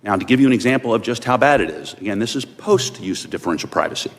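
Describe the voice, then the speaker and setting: A man's voice: on the low side, lively intonation, quick pace, fairly bright tone, slight background noise. A man giving formal, explanatory testimony as an expert witness. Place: large indoor hearing room. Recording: reverberant hearing room.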